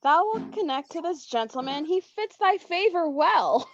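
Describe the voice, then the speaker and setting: A woman's voice: very high voice, a bit bright, highs cut off, heavily acted. A woman acting a flighty, scheming young friend with theatrical mock-Shakespearean diction in a staged reading. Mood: gleeful. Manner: theatrical, drawn-out, archaic diction. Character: flighty, scheming, exasperated young female friend, matchmaking and cursing.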